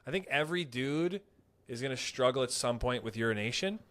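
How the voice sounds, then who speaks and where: A man's voice: mid-range voice, expressive, fairly quick, fairly bright tone. A young man co-hosting a podcast, rambling through crude stories in casual banter and acting out quoted voices. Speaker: podcast co-host.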